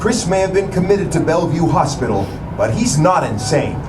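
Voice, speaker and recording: Eager, swooping young lawyer. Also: male voice, a little high, sing-song, normal speed, over music. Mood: determined. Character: eager, proud young lawyer, boyish and idealistic. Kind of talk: theatrical puppet show acting. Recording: distant, echoey, noisy theater recording.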